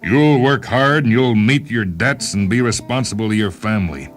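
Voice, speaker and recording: A man's voice: on the low side, moderate pace, voice pushed up, close to an everyday voice. Man doing righteous old-time policeman, lifted voice. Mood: righteous, scolding. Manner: assured, admonishing. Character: brisk, righteous 1930s New York patrolmen. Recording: music bed behind the voice.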